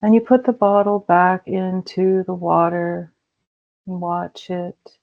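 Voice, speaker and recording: A woman leading a guided meditation in a slow, soothing way with gentle pauses. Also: fairly low pitch, flat delivery, gravelly. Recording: dull lo-fi recording.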